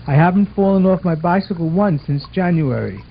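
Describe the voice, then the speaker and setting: A man's voice: sits high, expressive, a little fast, vocal fry. A man voicing an earnest, orderly twelve-year-old boy in a scripted puppet show, explaining things in a bossy, affectionate way. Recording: muffled amateur recording with watery noise.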